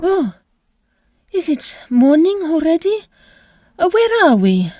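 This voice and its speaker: A woman playing an excitable, grand Victorian opera lady in a farcical audio drama, with a dramatic, exclaiming manner. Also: very high-pitched, slow, slight background noise, full character voice. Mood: haughty, waking. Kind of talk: audio drama farce acting.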